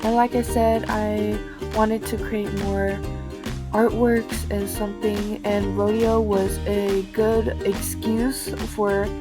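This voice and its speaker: A teenage girl narrating her own personal documentary in a halting, phrase-by-phrase way. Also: medium pitch, level tone. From United States, moved to Houston from Vietnam in early childhood. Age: teens. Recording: music behind the voice.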